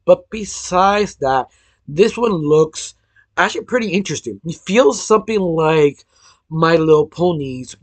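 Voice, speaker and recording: A man with a hoarse voice from illness, hosting a casual cartoon news roundup, rambling with lots of filler phrases and restarts. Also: a little high, dry recording. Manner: rambling, heavy use of "sort of", frequent restarts. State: hoarse, losing his voice from illness. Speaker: cartoon news channel host.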